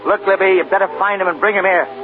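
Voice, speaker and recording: An actor playing a concerned, exasperated movie studio boss in a 1940s radio drama, earnest and authoritative. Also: male voice, high-pitched, steady tone, racing, over music, close to an everyday voice. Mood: alarmed, brisk. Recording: narrow-band 1940s radio broadcast.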